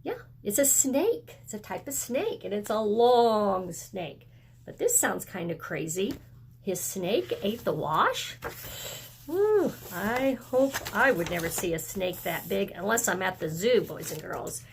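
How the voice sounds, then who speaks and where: A woman teaches kindergarteners in a video lesson. She speaks at a slow, deliberate pace and a middle pitch. Her manner is encouraging, with simple questions and room left for answers. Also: big pitch swings, vocal fry, slight background noise. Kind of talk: video lesson and read-aloud for young children.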